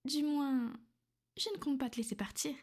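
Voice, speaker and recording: A woman voicing a soothing vampire noblewoman who speaks gently and often in a hushed whisper. Her voice sits high and soft. She plays it as an intimate ASMR roleplay, caring on the surface but possessive underneath. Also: fairly even intonation, normal speed. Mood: calm, matter-of-fact.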